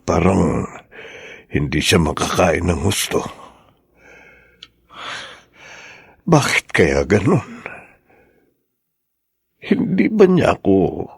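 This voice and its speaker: Husky, low, slow-talking elderly Filipino father. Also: full character voice. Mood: sad, musing. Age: older. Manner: hesitant, humble, soft-hearted. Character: gentle, hurt elderly father-in-law, an ex-convict. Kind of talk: Filipino radio drama acting.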